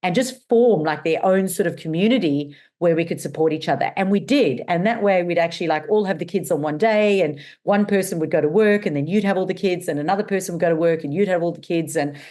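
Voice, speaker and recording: A middle-aged woman with a mixed South African and Australian accent, talking as an interview guest. Her voice sits low for a woman. She tells her story in an animated, chatty way, full of fillers. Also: fast, hardly any pauses. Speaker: guest, business owner. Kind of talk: casual interview chat.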